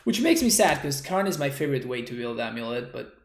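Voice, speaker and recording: A young man from Argentina with an Argentine Spanish accent. He is a streamer giving relaxed, chatty commentary on his own gameplay and thanking viewers for their chat messages. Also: medium pitch, fast talker, gravelly, roomy sound.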